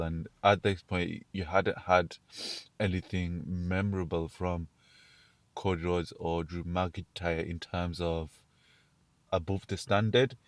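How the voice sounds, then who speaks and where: Sick croaky guy, real low voice, dead dry close mic, rambling a lot. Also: dry recording. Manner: rambling, with frequent restarts and fillers. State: croaky from illness. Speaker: show host. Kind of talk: casual wrestling commentary monologue.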